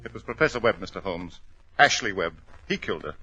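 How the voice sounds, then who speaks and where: An actor playing a tense, indignant and evasive English doctor in 1940s radio drama, with a clipped, halting manner. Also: male voice, low voice, lively intonation, over loud music. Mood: agitated. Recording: muffled 1940s radio recording.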